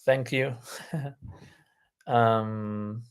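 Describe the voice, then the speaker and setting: A man who is a native Italian speaker, coaching on a live-stream lesson in an unhurried way, with many ums and restarts. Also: medium pitch, steady tone, clean tone. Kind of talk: live-stream lesson, reading chat aloud.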